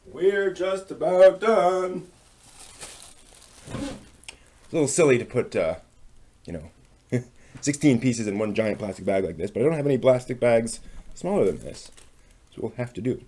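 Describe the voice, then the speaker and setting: A man casually thinking aloud as he works on a craft, muttering to himself in short, unhurried phrases. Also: mid-range voice, animated, dark tone. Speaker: maker hosting a craft workshop video.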